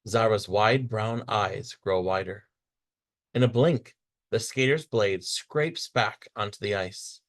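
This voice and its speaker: A man reading a children's picture book aloud with expressive, dramatic storytelling delivery. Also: fairly low pitch, takes it slowly. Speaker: storybook reader.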